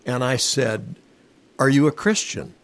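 A male interview host from western North Carolina, quick with short affirmations and easy anecdotes. He talks at a middle pitch in a plain, conversational way, guiding the exchange. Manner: warm affirming interjections, storytelling.

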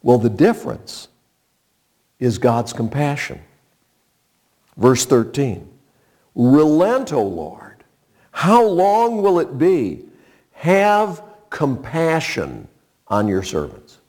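A man in his sixties preaching a sermon in a conversational, folksy way, with rhetorical questions and pauses. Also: mid-range voice, very animated, a little mellow. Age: 60s. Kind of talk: sermon with scripture reading and personal anecdotes.

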